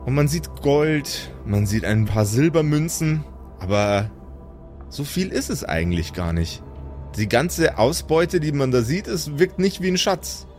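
A man's voice: on the high side, expressive, a little slow, a little mellow, faint music behind. A man working as game master, narrating an improvised tabletop role-playing game in descriptive detail, repeating words for emphasis.

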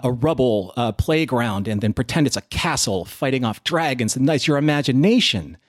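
A man speaks as a guest host, offering conversational commentary. His pitch is average and he pauses and repeats words as he thinks, in a very dry recording. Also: no echo.

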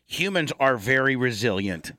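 A man's voice: medium pitch, expressive, moderate pace, sharp tone, no room sound, studio quality. A man hosting a casual group talk show, bantering in a blustering, defiant way and repeating himself.

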